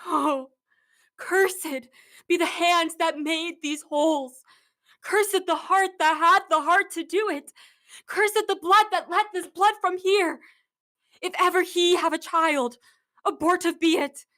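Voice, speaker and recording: A woman's voice: extremely high pitch, slow pace, bright, forceful tone, exaggerated voice. A young woman playing a grieving, furious noble widow in a Shakespearean radio play, declamatory and scornful. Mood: mournful, bitter. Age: young adult. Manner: declamatory, impassioned. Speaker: acting student.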